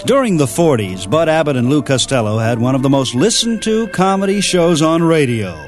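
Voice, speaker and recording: A man's voice: on the high side, animated, brisk. A man hosting a radio program with scripted narration introducing classic shows. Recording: music behind the voice. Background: music.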